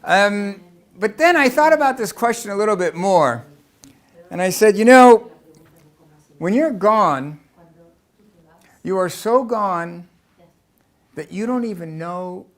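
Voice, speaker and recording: A man's voice: high-pitched, expressive, slow, pauses often. A middle-aged man from Los Angeles with an American accent, explaining to an audience and asking rhetorical questions. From Los Angeles, United States. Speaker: speaker giving a talk. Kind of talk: lecture to an audience.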